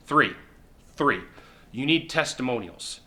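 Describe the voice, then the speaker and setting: A middle-aged man giving sales advice straight to the camera from a sofa indoors, emphatic and repetitive, with quick acted-out asides. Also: mid-range voice, animated, sharp tone. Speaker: sales coach. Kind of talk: direct-to-camera advice talk. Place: indoor living room on a sofa.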